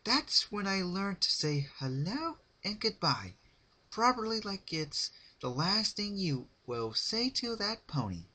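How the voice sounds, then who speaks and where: A man's voice: high-pitched, sharp tone, slightly rough, pitched above the performer's own voice, exaggerated voice. A man voicing a manic, cheerful female cartoon party pony who chatters on with a sadistic streak. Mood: wistful, chatty. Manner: chirpy, chatty, rambling.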